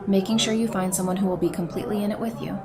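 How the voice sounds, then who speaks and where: A woman's voice: medium pitch, fairly even intonation, racing, close to an everyday voice. A woman playing a playful, confident young woman with a wry, teasing manner in an audio drama. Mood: candid, warm. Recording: music and party chatter behind. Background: music and crowd chatter.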